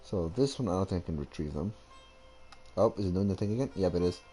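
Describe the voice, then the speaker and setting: A man giving casual gameplay commentary, thinking aloud in a halting way. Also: low voice, animated, warm tone, faint music behind. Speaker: gamer.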